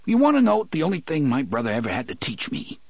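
A man voicing a tearful young man who is angry and pleading, speaking in a quick, strained voice raised above the narrator's own. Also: a little high, sing-song, no room sound, voice pushed up. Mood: tearful, desperate. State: crying.

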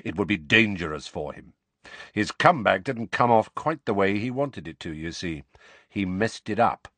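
A man's voice: fairly low pitch, expressive, moderate pace, bright, forceful tone, dry recording, heavily acted. A man voicing a defiant, protective grown man in an audiobook, speaking firmly and bluntly. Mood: explaining. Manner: firm, blunt, informal.

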